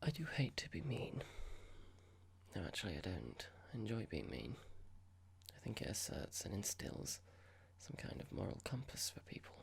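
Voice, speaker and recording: A performer voicing a horrible, condescending office boss in a whispered ASMR roleplay, mock-polite and slow, asking pointed, patronising questions. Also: deep voice, sing-song, gravelly, husky, full character voice. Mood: mock-sympathetic.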